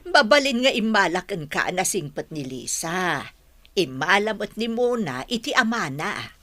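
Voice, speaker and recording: Woman acting a bright, forceful female confidante. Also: medium pitch, very animated, a little slow, no echo. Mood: advising. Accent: Ilocano. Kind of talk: Ilocano radio comedy drama acting.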